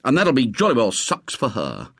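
A man's voice: medium pitch, huge pitch swings, normal speed, fairly bright tone, husky, no echo, clean studio sound, exaggerated voice. A man voicing a headstrong, indignant English schoolboy in a storybook, with an emphatic delivery. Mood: determined.

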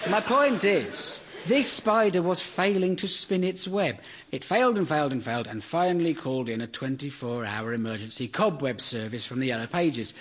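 A man delivering a deadpan, sardonic comedy monologue that builds into exasperated rants. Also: mid-range voice, slightly rough.

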